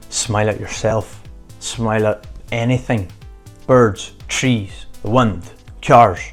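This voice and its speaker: Earnest low-voiced man with motivational talk. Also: a little mellow. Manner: earnest, emphatic, short punchy phrases. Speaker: motivational vlogger.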